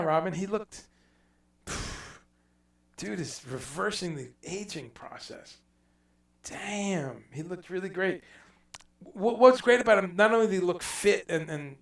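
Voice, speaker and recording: Male livestream host, chatty and rambling, raised gravelly voice, echoey room with the mic a bit far off. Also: high-pitched. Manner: rambling, reads out viewer comments, swears casually. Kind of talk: casual livestream chat.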